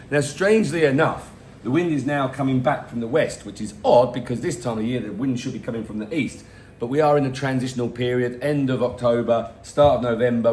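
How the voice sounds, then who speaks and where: Hoarse chatty middle-aged man in a roomy space. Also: mid-range voice. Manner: chatty and rambling, self-mocking asides. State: hoarse, losing his voice. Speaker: weather forecast host for a boat tour company. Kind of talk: casual weather vlog. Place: home, in front of a garden.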